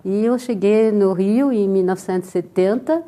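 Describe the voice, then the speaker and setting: An older woman from France speaking with a French accent, calmly telling her life story as a guest in a TV interview. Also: mid-range voice, moderate pace. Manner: calm storytelling with soft laughs.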